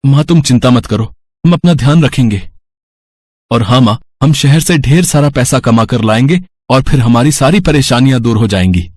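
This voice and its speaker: A man's voice: medium pitch, expressive, moderate pace, a little mellow, pitched below the performer's own voice, lightly acted. A man playing a kindly, earnest village man in a moral story cartoon. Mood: reassuring.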